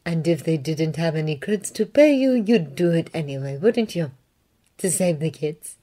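A woman's voice: sits low, animated, moderate pace, dark tone. A woman voicing a shrewd Mandalorian woman mercenary with a dry, knowing manner. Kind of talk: fan fiction audiobook reading.

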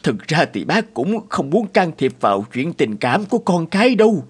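An actor playing a worried, hot-tempered middle-aged father in an animated drama, speaking emotionally. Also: a little high, moderate pace, breathy edge. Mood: troubled.

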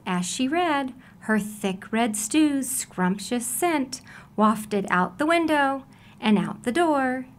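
A woman reading a children's story aloud in an expressive, deliberate storytelling manner. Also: high-pitched. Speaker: storybook reader.

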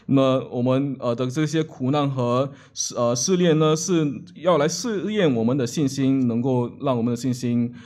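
A man's voice: medium pitch, moderate pace, hardly any pauses, darkish tone. A man seated at the front of a church interprets a sermon phrase by phrase into a table microphone. His delivery is hesitant, broken by filler sounds and restarts as he searches for the next words.

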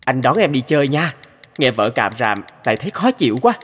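A man's voice: mid-range voice, sing-song, a little fast, a bit bright, breathy edge. A man voicing an unfaithful young husband in a cartoon, weary and dismissive. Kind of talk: cartoon dubbing.

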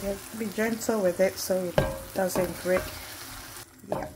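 A woman from Ghana, gently narrating a home cooking video step by step and blessing her viewers. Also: mid-range voice, steady tone. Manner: gentle, unhurried, affectionate sign-off with blessings. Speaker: cooking vlogger. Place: home kitchen at the stove. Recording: sizzling frying noise behind the voice.

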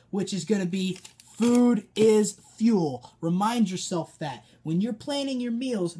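A man's voice: sits high, warm tone, husky. A young American man giving informal, emphatic coaching as a personal trainer, direct and instructive. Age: young adult. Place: small dim room indoors, seated at a desk.

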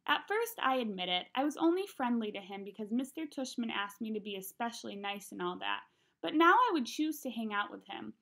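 A young woman reads a children's novel aloud in an expressive, conversational way, acting out the dialogue. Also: on the high side, brisk, vocal fry. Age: young adult. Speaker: read-aloud narrator. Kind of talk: expressive audiobook-style reading of a children's novel. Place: small room at home.

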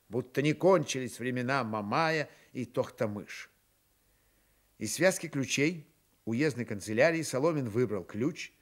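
A man's voice: medium pitch, a bit bright. A man narrating a literary radio drama in a storytelling style.

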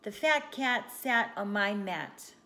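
A woman's voice: medium pitch, expressive, slow, bright, forceful tone, heavily acted. A woman voicing a small, bossy storybook pet rat with an insistent, indignant manner.

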